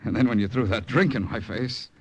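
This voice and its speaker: An actor playing a calm American undercover agent in 1940s radio style, measured, confiding and reassuring. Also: male voice, mid-range voice, husky, close to an everyday voice. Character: calm, steady American undercover spy, trusting and persuasive.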